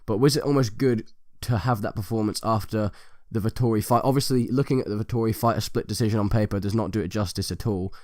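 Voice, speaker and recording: Fast-talking man asking long questions. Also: fairly low pitch, darkish tone, dry recording, clean studio sound. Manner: long, rambling questions with fillers. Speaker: interviewer. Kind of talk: sports interview questions.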